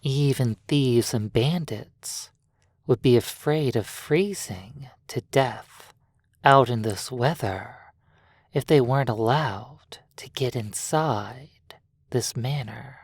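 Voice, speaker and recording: A narrator softly telling a sleep hypnosis roleplay story in a breathy voice, deliberately, with long pauses between phrases. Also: mid-range voice, dry recording. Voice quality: breathy, soft.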